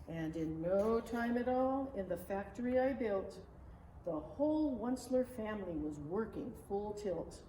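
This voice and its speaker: A woman reading a rhyming children's story aloud in an expressive, measured, dramatic way. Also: mid-range voice. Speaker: storybook reader. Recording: roomy, distant mic, faint noise.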